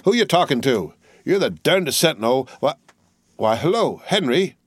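A man voicing a gruff army corporal who talks bluntly in a folksy country dialect. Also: medium pitch, sing-song, normal speed, no echo, studio quality, pitched above the performer's own voice.

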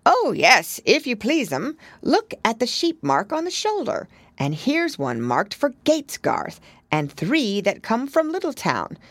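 Fussy humble hedgehog washerwoman, read by a woman, higher and louder than the narration, dry sound. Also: huge pitch swings, unhurried, no room sound, full character voice. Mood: fussy, polite. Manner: deferential, repeats polite phrases.

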